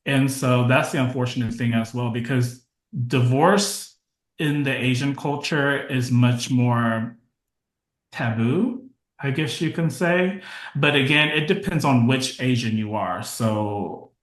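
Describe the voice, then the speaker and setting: A man in his thirties, a podcast guest, talking in a relaxed, matter-of-fact way that trails off now and then. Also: medium pitch, slow pace, echoey room, low-quality recording. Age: 30s. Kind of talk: casual interview chat.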